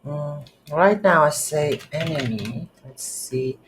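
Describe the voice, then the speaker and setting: A tutorial instructor thinking aloud in a halting, unscripted way while demonstrating game development on a computer. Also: mid-range voice. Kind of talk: unscripted screen-recorded software tutorial. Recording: background hiss, keyboard typing noise.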